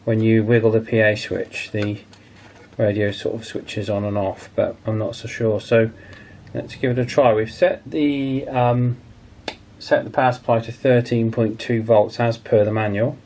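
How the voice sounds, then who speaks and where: A British man with a fairly low voice narrates as the host of a hobby repair channel. He explains each point in a matter-of-fact way, with the informal feel of someone talking through hands-on work. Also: level tone, a little slow, darkish tone, slight background noise.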